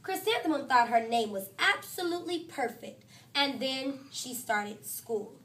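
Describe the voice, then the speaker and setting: A woman teacher reading a picture book aloud to her class, with lively, expressive storytelling. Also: unhurried, far from the mic. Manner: expressive storytelling, voices quotes higher and louder.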